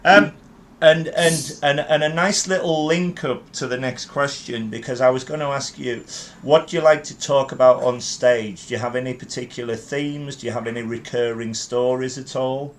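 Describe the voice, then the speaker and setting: A man's voice: medium pitch, normal speed, slight background noise. A man in his fifties hosting a podcast interview, encouraging the guest and stammering with repeated words as he asks questions. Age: 50s. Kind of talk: casual interview chat.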